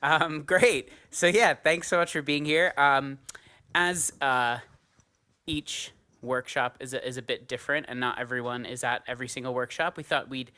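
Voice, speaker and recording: A man with a New York American accent and a bright, clear-cut tone. His pitch sits mid-range as he talks casually to a workshop group as one of its singers. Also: fairly even intonation, moderate pace. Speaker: a cappella singer leading a workshop.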